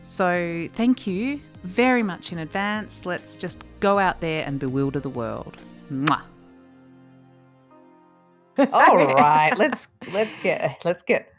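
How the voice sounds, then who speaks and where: A woman with a low voice co-hosts a podcast in a bubbly, joking way, laughing often. Faint music plays behind her. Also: expressive, slow. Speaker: podcast co-host. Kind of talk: casual podcast chat.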